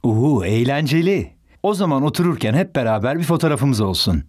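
A man's voice: medium pitch, sing-song, brisk, close to an everyday voice. A man voicing a cheerful, helpful father in a children's cartoon dub, upbeat and playful.

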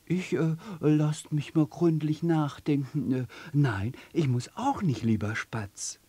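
Man playing a hesitant female blackbird in a storybook, slow and thoughtful. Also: a little high, mellow, breathy edge, dry recording, pitched above the performer's own voice. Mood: pondering. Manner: hesitant, ponderous.

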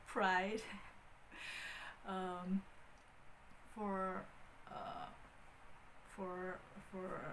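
Woman, thirties, Chinese accent, slow, halting speech, lots of ums, small room, faint hiss. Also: mid-range voice, rough recording. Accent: Mandarin Chinese. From Beijing, China. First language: Mandarin Chinese. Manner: hesitant, many fillers and restarts. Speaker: vlogger. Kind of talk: casual talk to camera. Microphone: wired handheld mic.

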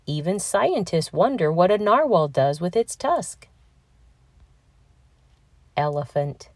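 Woman, picture book narrator, unhurried deliberate pacing, expressive storytelling, close dry sound. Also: on the low side, dry recording. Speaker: children's read-aloud narrator. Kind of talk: children's picture book read-aloud.

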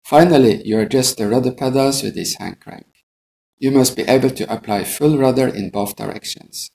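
A man's voice: medium pitch, normal speed, gravelly, mellow. A middle-aged man, an airline pilot, giving a methodical, scripted instructional narration.

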